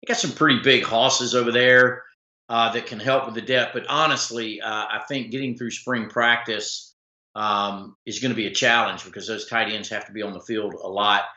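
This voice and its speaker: A man hosts a loose sports talk stream, rambling through fillers and restarts in a lowish, forceful voice that sounds a little rough. The sound is muffled and lo-fi, with an echoey room around him. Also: fairly even intonation, moderate pace. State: slightly rough voice. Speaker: sports talk show host. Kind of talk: casual live-stream commentary reading viewer comments.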